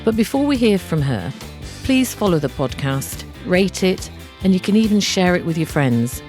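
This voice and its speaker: A woman's voice: lowish voice, very animated, moderate pace, darkish tone, faint music behind. An older woman hosting a podcast, introducing it and chatting with her guest in a conversational interview style. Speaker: podcast host.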